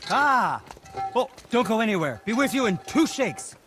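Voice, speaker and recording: Game role: fastidious dwarf craftsman, high male voice, swooping intonation, fretful stammer. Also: sing-song, over music. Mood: chipper. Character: fussy, squeamish, touchy male dwarf smith. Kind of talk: comic video game acting.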